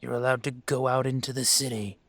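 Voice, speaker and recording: A man playing a dragon mafia boss in an audio roleplay, speaking with measured, condescending menace and smug control. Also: medium pitch, flat delivery, fairly bright tone, no room sound. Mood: stern, condescending. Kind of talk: scripted roleplay monologue to the listener.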